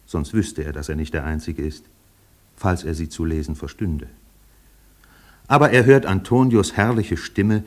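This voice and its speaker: An actor playing a wry narrator in a literary radio play, telling it in a measured, lyrical way. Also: male voice, low-pitched, natural voice.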